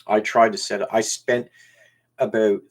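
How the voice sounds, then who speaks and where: Man, Newfoundland accent, lowish voice, casual live stream host, halting, repeats words. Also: flat delivery. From Newfoundland, Canada. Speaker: YouTube channel host. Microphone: camera used as webcam.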